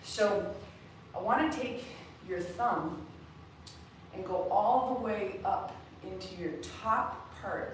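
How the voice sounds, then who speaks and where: Slightly raspy coach from across the room. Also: female voice, lowish voice, background noise. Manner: relaxed, guiding students step by step. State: slightly raspy voice after a race. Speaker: mobility coach. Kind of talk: live mobility class instruction. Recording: echoey room, far from the mic.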